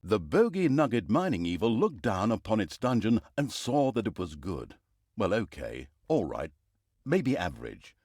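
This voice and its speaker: A man's voice: on the low side, sing-song, normal speed, darkish tone, husky, dry recording. A male actor playing the wry, mocking storyteller narrator of a comedic fantasy video game, theatrical and tongue-in-cheek, swinging between grand storytelling and dry asides.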